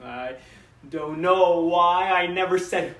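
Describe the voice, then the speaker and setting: A man performing a dramatic monologue as a proud, grieving middle-aged father, emotive and full of pauses. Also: sits high, fairly bright tone. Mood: regretful, tender. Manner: theatrical, emotive, with dramatic pauses and repeated phrases. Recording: echoey room, distant microphone.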